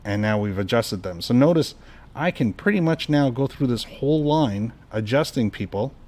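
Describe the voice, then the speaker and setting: A man hosting a hands-on equipment tutorial, calmly explaining each step as he adjusts the controls. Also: mid-range voice, normal speed. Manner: relaxed, explanatory, talks through each step while adjusting knobs. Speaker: product tutorial host. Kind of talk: casual hands-on equipment demo.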